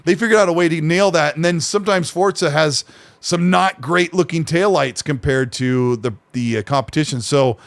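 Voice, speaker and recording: A man's voice: a little high, moderate pace, creaky, no echo. A man co-hosting a casual gaming podcast, rambling with fillers and restarts. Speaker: podcast co-host.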